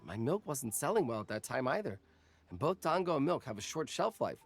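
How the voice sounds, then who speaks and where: A man's voice: medium pitch, very animated, fairly quick, gravelly, faint music behind. An actor voicing a nervous young snack vendor who stammers and apologizes while explaining his wares in a game cutscene.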